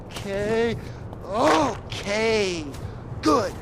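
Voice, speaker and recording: A man's voice: high voice, expressive, drawn-out words, vocal fry, background noise, full character voice. An actor voicing a jittery, rambling male drug-dealer accomplice who wisecracks nervously in a game cutscene. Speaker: nervous accomplice in a crime crew.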